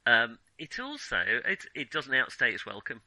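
A man's voice: mid-range voice, wildly swooping pitch, moderate pace, bright, forceful tone, no room sound, poor audio. A middle-aged British man co-hosting a film podcast in casual conversation, hesitant and thinking aloud as he goes.

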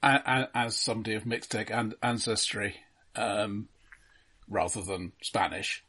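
A man's voice: on the low side, a little slow, pushed and bright, breathy edge. A man co-hosting a film podcast, offering considered, analytical points in a casual and somewhat hesitant way. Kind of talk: casual film review discussion.

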